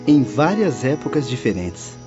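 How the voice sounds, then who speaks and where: A man's voice: mid-range voice, big pitch swings, unhurried. A Brazilian man playing a learned, courteous spirit companion with formal speech in an audiobook drama. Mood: affirming. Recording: slightly dull audio over music.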